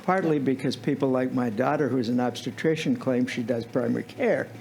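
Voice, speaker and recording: An older man speaking bluntly and in a measured way as a panelist in a meeting room discussion. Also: mid-range voice, creaky, darkish tone.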